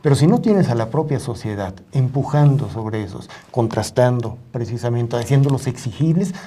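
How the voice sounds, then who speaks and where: A middle-aged man with a Mexican accent, speaking as an expert guest in a studio discussion in a deliberate, halting, measured way. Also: medium pitch, expressive, mellow. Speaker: media ombudsman and journalist, panel guest. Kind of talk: reflective studio interview discussion.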